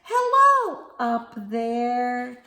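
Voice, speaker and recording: A woman voicing a cheerful little boy bug from a children's storybook, with a bright, excited, squeaky delivery. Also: very slow, reverberant, full character voice.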